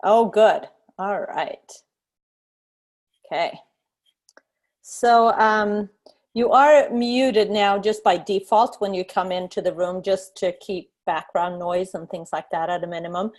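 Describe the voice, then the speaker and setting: A woman from Sweden, a design instructor, giving a relaxed online lecture on a video call with many fillers and restarts. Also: medium pitch, takes it slowly.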